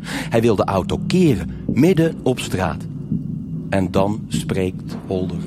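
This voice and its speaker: A man narrating a scripted live noir radio drama in a measured, atmospheric way with short phrases. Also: low voice, sing-song. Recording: live stage with loud music.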